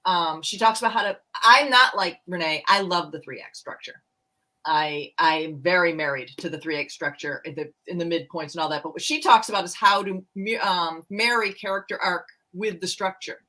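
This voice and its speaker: A woman's voice: on the low side, normal speed, bright, forceful tone, rough recording. A middle-aged woman, a romance author and co-host, chatting candidly and a little self-deprecatingly about writing books. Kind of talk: casual book chat.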